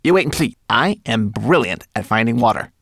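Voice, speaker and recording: A man's voice: medium pitch, expressive, fairly quick, creaky, a bit bright, pitched above the performer's own voice. A man voicing a tiny, proud, bookish manikin creature who speaks in a precise, self-assured way. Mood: boastful.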